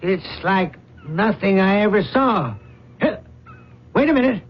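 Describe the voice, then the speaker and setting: An actor playing a gruff, blunt middle-aged American father and fishing boat skipper in a radio drama. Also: high voice, expressive, unhurried, full character voice. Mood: alarmed. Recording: muffled old radio broadcast.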